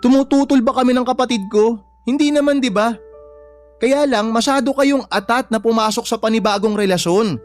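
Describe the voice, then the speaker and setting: An actor playing a protective, exasperated adult son in a radio-style drama, blunt and earnest, with a Filipino accent. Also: male voice, extremely high pitch, normal speed, faint music behind.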